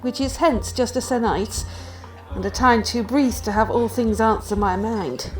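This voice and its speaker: A woman's voice: fairly high pitch, unhurried, soft background music, natural voice, low-quality recording. A woman acting a fond, amused noblewoman parent in a Shakespearean radio play. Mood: fond amusement.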